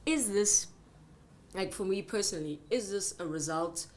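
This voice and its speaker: A young woman with a deep voice, co-hosting a podcast and talking candidly about her worries. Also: normal speed, a little mellow. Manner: candid, reflective, trails into restarts. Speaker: podcast co-host. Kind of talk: casual chat.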